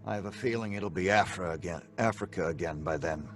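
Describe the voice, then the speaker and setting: A man's voice: deep voice, fairly quick, lightly acted. An actor playing a worried father who is stern with his children, in a live audio drama. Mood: uneasy. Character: worried middle-aged father. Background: faint live music.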